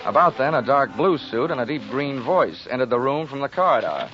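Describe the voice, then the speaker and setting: A man's voice: mid-range voice, big pitch swings, a little fast, natural voice. Male hardboiled sleuth acted, flippant and wry, 1940s radio drama narration, muffled broadcast sound with music. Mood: sardonic. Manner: dry, sardonic wisecracks. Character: wisecracking hardboiled male insurance investigator, cocky and flippant. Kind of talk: 1940s radio acting with first-person narration.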